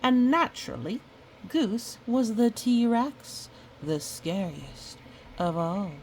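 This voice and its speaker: A woman reading a picture book aloud in a chatty, expressive way, with casual asides. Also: on the high side, takes it slowly, a little hiss or hum, rough recording. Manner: chatty, expressive storytelling with playful sound effects. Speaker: storybook reader.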